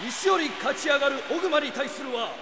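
An actor playing a loud arena announcer, proclaiming duels in a shouted tournament style in a Japanese audio drama. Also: male voice, very high voice, expressive, fast talker. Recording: echoing arena, long reverb, noisy.

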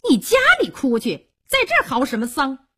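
A woman's voice: high voice, wildly swooping pitch, moderate pace, a bit bright, husky, pitched above the performer's own voice, heavily acted. A woman storyteller playing a brazen, spiteful courtesan with a scornful, taunting, theatrical delivery.

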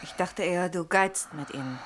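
A woman's voice: on the low side, moderate pace, a little hiss or hum, lightly acted. An actress playing an anxious, sceptical woman who shields her companion, pointed and probing in a dramatic radio play style. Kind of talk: German radio play acting.

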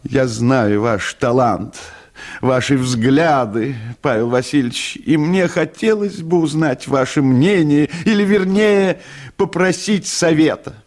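A man voicing a nervous, gushing, pushy lady playwright, with a high voice and halting, effusive delivery in a storybook reading. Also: a little mellow, natural voice. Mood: coy pleading. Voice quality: high, tenor-like voice.

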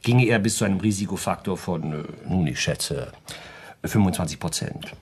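An actor playing an urbane, pedantic lawyer in a radio play, reasoning coolly and politely in a precise, lecturing manner. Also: male voice, low voice, normal speed, breathy edge. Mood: calculating. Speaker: lawyer giving legal advice. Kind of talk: German radio play dialogue.

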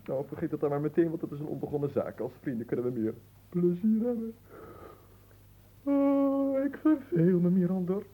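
A man's voice: sits high, normal speed, warm tone. A man acting in a radio drama as a bored, capricious young nobleman, theatrical, languid and teasing. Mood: bored, playful.

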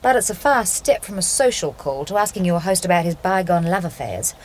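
A woman's voice: fairly low pitch, animated, fairly quick, poor audio. An actress playing an earnest, inquisitive young married woman in a radio drama, polite and questioning. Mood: uneasy, reflective. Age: young adult.

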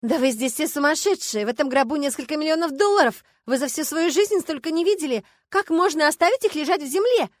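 Casting: female narrator as greedy wealthy woman, high-pitched, loud, indignant and demanding, audiobook character. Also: sing-song, moderate pace, hardly any pauses, no echo, clean studio sound. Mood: hysterical. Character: shrill, greedy, hysterical wealthy woman heiress.

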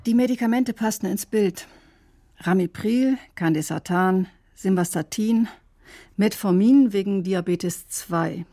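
Woman doctor, radio drama: mellow, slightly husky, clinically precise, defensive, burdened by conscience. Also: mid-range voice. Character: defensive, conscience-stricken woman doctor recounting a suspicious death. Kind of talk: German radio drama acting, testimony-like narration.

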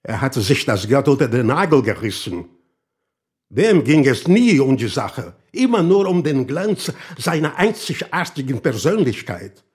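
An actor playing an indignant old former revolutionary in a radio drama, scornful and emphatic. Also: male voice, mid-range voice, sing-song, measured pace, a little mellow. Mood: bitter, scornful. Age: older. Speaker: murder suspect.

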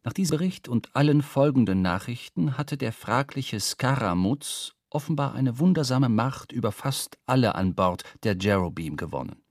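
An actor playing a seafaring narrator who tells a whaling voyage in measured, literary radio drama narration. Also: male voice, medium pitch, lively intonation, no echo, studio quality. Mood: measured, explanatory.

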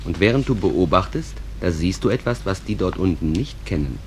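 An actor playing a grave, all-knowing narrator figure among the dead in a radio drama. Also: male voice, low voice, expressive, brisk, a little mellow, a little hiss or hum. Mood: grave, warning. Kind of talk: theatrical radio drama acting.

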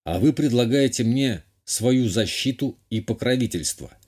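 A man's voice: mid-range voice, animated, moderate pace, a little mellow, husky. A man playing a sardonic young count, a reluctant hero in an audiobook, with dry, grumbling delivery. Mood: teasing.

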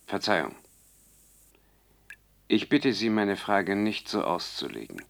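A low-voiced servile male operative of a brain bank in a radio drama. He speaks formally and with careful restraint, always deferring politely to whoever is in charge. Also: big pitch swings, slow, vocal fry, a bit bright, exaggerated voice. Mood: polite, restrained. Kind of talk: German radio play acting.

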